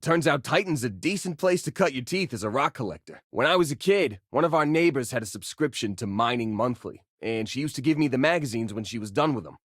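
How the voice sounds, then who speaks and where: A friendly young spacefaring miner played by a male actor for a video game. He is easygoing and earnest, with a casual, folksy way of talking. His medium-pitched voice moves up and down in a lively way, recorded dry. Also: brisk, few pauses, dry recording, close to an everyday voice. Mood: friendly, chatty. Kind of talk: video game character dialogue and combat barks.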